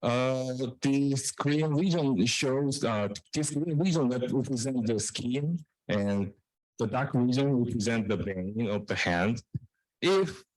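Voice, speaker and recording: A man presents technical research. His voice sits at an average male pitch. He moves haltingly, often restarting and repeating phrases, and the sound is dry with little room in it. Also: darkish tone, dry recording, low-quality recording. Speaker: researcher presenting own work.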